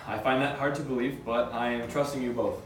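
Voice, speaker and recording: A man's voice: medium pitch, fairly even intonation, a little fast, close to an everyday voice. A man reading the part of an accused businessman, blunt and resigned, in an amateur script table read. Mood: grim, resigned. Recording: distant, echoey room with faint noise.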